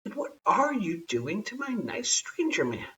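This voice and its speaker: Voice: mid-range voice, wildly swooping pitch, measured pace, a bit bright, recorded from a distance, highs cut off, a little hiss or hum, voice pushed up, heavily acted. An adult storyteller voicing a small, outspoken girl, innocent and indignant, in storybook dialogue.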